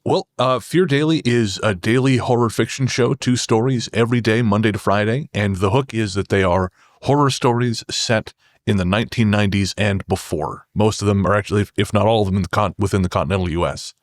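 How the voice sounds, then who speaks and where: Male podcast guest, fairly low voice, explanatory conversational delivery, dry studio-like sound. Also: fairly quick, no room sound. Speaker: podcast guest, horror writer.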